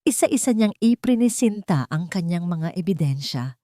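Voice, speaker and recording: A woman narrates a scripted romantic drama in a fairly low voice. The tone is dark and mellow, and the sound is very dry and close, with no room around it. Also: lively intonation, moderate pace, no echo. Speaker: story narrator.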